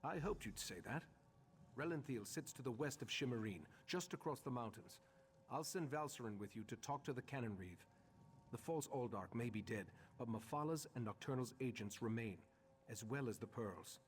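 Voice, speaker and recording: An actor playing an earnest, authoritative master of a mage order in a video game, measured and formal. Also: male voice, medium pitch, animated, natural voice. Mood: grave, pondering. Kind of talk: video game character acting.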